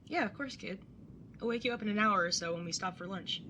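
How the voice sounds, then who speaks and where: A woman's voice: medium pitch, fast talker, sharp tone, a little hiss or hum, close to an everyday voice. A young woman playing a caring, slightly awkward and wry older sibling in a casual audio drama. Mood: gentle, concerned. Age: young adult. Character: awkward, caring older sibling, early twenties, tattooed, teasing. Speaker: narrator and lead character. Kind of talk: audio drama acting with first-person narration.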